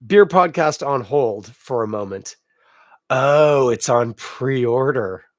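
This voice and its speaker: A hoarse, raspy man in middle age hosts his podcast, rambling and poking fun at himself as he chats to viewers watching live. His voice sits mid-range, and the close mic gives a dry, echo-free sound. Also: mid-range voice, lively intonation, slow pace. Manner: rambling, self-deprecating, chatting to live viewers. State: hoarse from a cold. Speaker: podcast host. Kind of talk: casual solo podcast chat.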